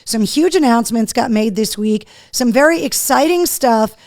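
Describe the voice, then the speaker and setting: A woman hosting a live show in casual chat, her voice hoarse, chatty and loose. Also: on the high side, lively intonation, normal speed, a little mellow. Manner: chatty, talks to her dog between remarks. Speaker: host.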